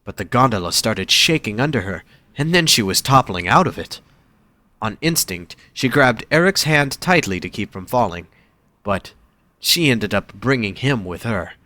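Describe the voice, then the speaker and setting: A man's voice: fairly low pitch, very animated, moderate pace, pushed and bright, no echo. A man reading scripted story narration as the narrator of an audio drama.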